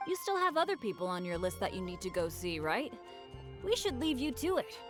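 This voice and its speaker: An eager young boy adventurer from a game, with a lively, swinging pitch. He sounds humble and earnest, over faint music. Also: a little fast. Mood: friendly. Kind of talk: English video game voice acting.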